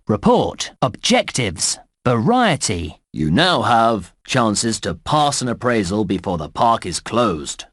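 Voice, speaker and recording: A man playing a cheerful theme park narrator in a children's game, reading menus and hints in a deliberate, instructive way. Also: medium pitch, a bit bright, dry recording, studio quality. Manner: deliberate, clearly enunciated, instructive.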